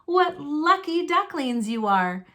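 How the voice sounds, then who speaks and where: A woman's voice: high voice, big pitch swings, slow pace, bright, forceful tone, pitched above the performer's own voice, heavily acted. A woman voicing a protective, bossy and loving mother duck in a storybook, exclaiming and quacking. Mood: relieved, fond. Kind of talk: storybook character voice.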